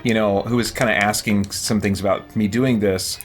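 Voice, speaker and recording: A man's voice: fairly low pitch, fairly even intonation, normal speed, soft background music. A man hosting a casual gaming live stream, chatting and thinking aloud as he plays.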